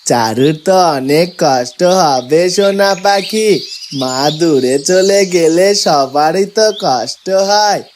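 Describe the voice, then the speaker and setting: A woman voicing a gentle, loyal farm ox in a cartoon, sympathetic and reassuring. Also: sits low, lively intonation, slow. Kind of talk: cartoon voice acting. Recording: noisy, birdsong and clicks behind.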